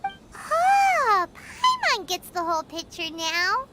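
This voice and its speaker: A woman voicing a small, cheeky, childlike fairy companion in a video game, with a squeaky voice and a blunt manner. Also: huge pitch swings, takes it slowly, sharp tone, exaggerated voice. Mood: knowing, cheeky. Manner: speaks of herself in third person, blunt.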